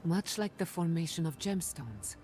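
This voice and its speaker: A low female voice plays a composed, resolute envoy in a fantasy game cutscene. Faint music runs underneath. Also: lively intonation, moderate pace, dark tone. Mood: calm, firm. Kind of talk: video game cutscene dialogue.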